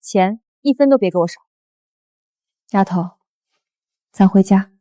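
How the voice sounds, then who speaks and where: A woman's voice: mid-range voice, unhurried, dark tone, dry recording, studio quality, lightly acted. An actress playing a commanding, protective wealthy woman executive, cool and authoritative in a drama. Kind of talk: short-drama acting.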